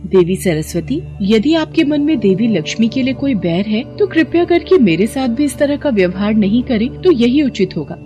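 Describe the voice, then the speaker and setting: A woman voicing a river goddess in an animated story, soothing but defensive. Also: a little high, normal speed, hardly any pauses, soft background music. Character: placating river goddess, female.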